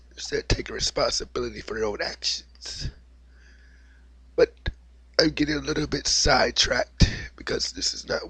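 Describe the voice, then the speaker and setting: Husky-voiced man with a cold. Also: medium pitch, a bit bright, dry recording. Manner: opinionated, preachy, repeats himself. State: has a cold, slightly raspy. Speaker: video host. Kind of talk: unscripted monologue vlog.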